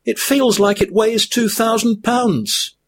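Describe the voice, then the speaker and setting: A man voicing an anxious, whiny young male hobbit in a high strained squeak. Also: steady tone, slow, full character voice. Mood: weary.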